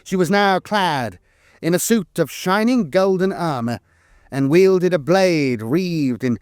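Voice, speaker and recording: A man reads scripted lore narration in a measured, solemn way, with dramatic emphasis on key phrases. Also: a little high, lively intonation, clean tone, no echo, clean studio sound.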